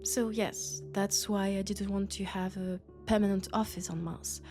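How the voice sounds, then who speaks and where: A woman with a French accent, sick with a cold, playing a gentle, sociable traveling doctor from Mars in an intimate sci-fi audio roleplay, chatty and soft. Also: mid-range voice, fairly even intonation, a little slow, over music, close to an everyday voice. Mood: warm, reflective. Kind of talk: intimate sci-fi roleplay acting, one-sided conversation.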